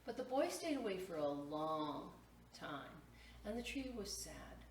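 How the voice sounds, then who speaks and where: A woman's voice: fairly low pitch, big pitch swings, a little slow. A woman hosting storytime, reading a picture book to children and explaining it in simple phrases. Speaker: library storytime host. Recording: echoey room, distant mic, faint noise.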